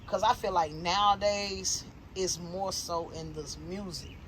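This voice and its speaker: A woman in her thirties with a Southern US accent hosts a loose sit-down chat. She talks in an animated, slangy way and makes strong opinions plain. A light hiss of background noise sits under her voice. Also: fairly low pitch, measured pace, low-quality recording. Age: 30s. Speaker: interview host. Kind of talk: casual interview chat.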